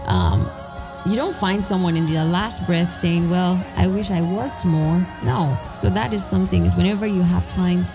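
A woman hosting a solo podcast, talking in an earnest, encouraging way with lots of fillers, her voice a little raspy. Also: fairly low pitch. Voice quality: slightly raspy. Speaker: podcast host. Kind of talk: solo reflective podcast talk.

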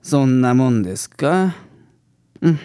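A woman's voice: very deep voice, lively intonation, unhurried, mellow, smooth. A woman playing a brusque, dry male private detective in a comic radio drama, with of speech. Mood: pondering. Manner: brusque, dry, casual older-man phrasing. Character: gruff male private detective, brusque, stubborn, sneezing with hay fever.

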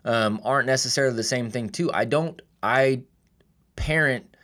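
A man's voice: mid-range voice, normal speed, a bit bright. A middle-aged man hosting an interview, conversational and agreeable, often restarting his sentences as he thinks aloud. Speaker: podcast host.

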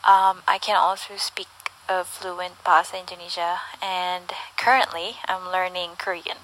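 A woman from the Philippines with a Filipino accent, an English teacher and guest introducing herself in a relaxed, friendly way. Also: on the low side, moderate pace, rough recording. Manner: friendly and relaxed, with light fillers. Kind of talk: casual self-introduction.

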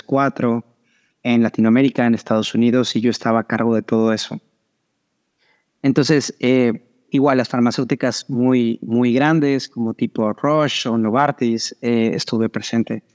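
A man's voice: mid-range voice, a little mellow, clear, resonant tone. A middle-aged man with a Mexican accent, giving conversational interview answers as a guest and mixing in English business terms. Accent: Mexican Spanish. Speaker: podcast guest, startup founder.

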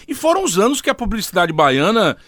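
A man's voice: high-pitched, sing-song, fairly quick, a bit bright, dry recording. Conversational male host, dry sound. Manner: affirming, conversational. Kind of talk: casual interview talk.

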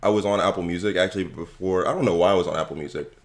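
Low voiced guy co-hosting a podcast, chill and thoughtful, just talking it out. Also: rapid-fire. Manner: relaxed, reflective, talks at length. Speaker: podcast co-host. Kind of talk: casual chat.

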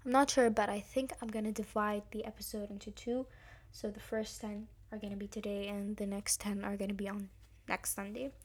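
A young woman hosting her own podcast, slightly hoarse as she recovers from being sick, giving earnest advice straight to her listeners. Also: mid-range voice, little pitch movement, brisk. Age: young adult. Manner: earnest, emphatic, addresses listeners directly. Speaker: podcast host. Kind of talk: casual solo podcast advice talk.

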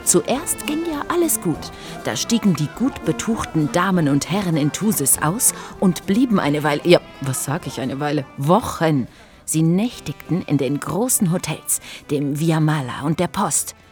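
An actress playing a chatty, scatterbrained narrator in an audio play, musing and drifting into playful asides. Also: female voice, sits low, expressive, measured pace, a little mellow, faint music behind. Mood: musing, animated. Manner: musing, hesitant, wandering off into asides. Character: chatty, scatterbrained woman narrator, playfully straying from her script. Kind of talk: scripted audio play for a hiking trail, conversational.

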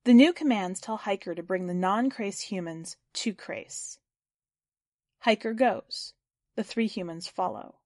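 A woman's voice: mid-range voice, a little slow, a little mellow, no echo, studio quality. A woman reading scripted fiction and hosting a story podcast. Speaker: podcast host and story reader.

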